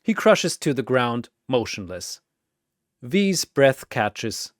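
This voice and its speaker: A narrator reading a dramatic scripted story in measured, suspenseful short phrases. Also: medium pitch, sing-song, dry recording, studio quality.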